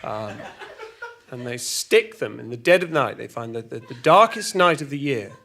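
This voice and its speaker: A man playing a flustered, exasperated storyteller, halting and repeating himself through a comic monologue full of petty grievances. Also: medium pitch, animated, vocal fry, sharp tone, soft background music. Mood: wry, rambling. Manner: halting, repetitive, stumbling over words. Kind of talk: rambling semi-improvised comic monologue with acted argument quotes.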